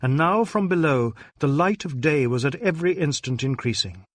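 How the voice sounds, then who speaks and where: A man narrates a detective story in a dramatic, scripted reading style. His voice sits a little higher than most men's. The sound is very dry, with no room around it. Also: expressive, normal speed, no echo. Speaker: audiobook narrator.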